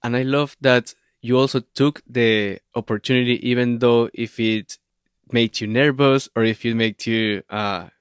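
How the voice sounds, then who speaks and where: Smooth-voiced Venezuelan-accented man. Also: medium pitch, fairly even intonation, no echo. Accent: Venezuelan Spanish. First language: Spanish. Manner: warm, affirming, measured questions. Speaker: podcast host. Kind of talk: interview podcast. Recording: dry close mic.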